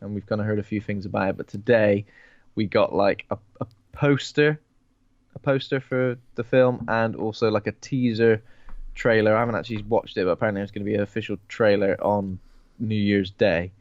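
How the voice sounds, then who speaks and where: A man's voice: fairly low pitch, steady tone, fairly quick, no echo. A sniffly man co-hosts a horror podcast in a jokey, easygoing way, laughing often and doubling up his words. His mic sounds a little dull and very dry, with no room around it. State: sniffly with a cold. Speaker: podcast co-host. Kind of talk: casual film podcast chat.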